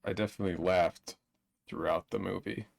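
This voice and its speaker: A man co-hosting a casual movie discussion, speaking in a halting, thoughtful way. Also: low-pitched, steady tone, creaky. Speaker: podcast co-host. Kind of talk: casual movie review chat.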